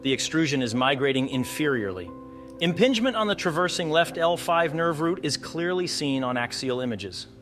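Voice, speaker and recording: A man's voice: a little high, expressive, moderate pace, music in the background. A man narrating an explanatory case walkthrough as a radiology instructor, posing questions to the viewer as he teaches. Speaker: radiology instructor presenting cases.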